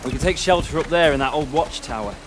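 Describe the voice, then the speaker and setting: A man's voice: on the high side, animated, quick pace, noisy background, natural voice. An actor playing a young male hero who reassures his companion with dry humor. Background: game score music.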